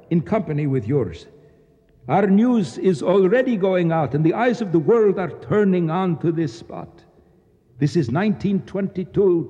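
An actor playing a proud, bitter Egyptian nationalist official in a radio drama, speaking formally and precisely with declamatory flourishes. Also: male voice, on the high side, dark tone, husky, heavily acted. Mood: fervent, declamatory. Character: proud, embittered, fanatical Egyptian nationalist official, courteous yet grim. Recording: dull sound with long echoing reverb.

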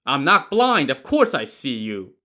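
A gruff river boatman, put on by a male storyteller, sneers and taunts with a loud, pushed-up voice that swoops from line to line. He sounds cocky and cruel, a smuggler sure he has the upper hand. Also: medium pitch, big pitch swings, unhurried. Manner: mocking, threatening.

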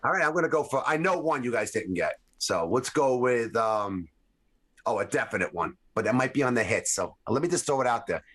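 A man's voice: medium pitch, expressive, brisk, fairly bright tone, breathy edge. A man co-hosting a podcast in casual chat, joking and teasing his friends in a playful way. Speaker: podcast co-host.

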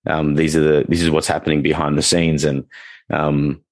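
A man with a deep, low voice talks through his thoughts on the trade in a loose, rambling way, circling back with restarts and "like"s. The sound is dry and close, with no room around it. He speaks as a working dog trainer in an easygoing podcast chat. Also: level tone, normal speed, no room sound. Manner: rambling, frequent restarts and fillers.

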